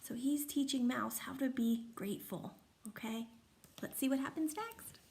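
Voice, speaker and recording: A woman's voice: high voice, moderate pace, creaky, darkish tone, a little hiss or hum. A woman reading a picture book aloud to children like a teacher, explaining and asking the listeners questions. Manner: instructive, asks listeners questions.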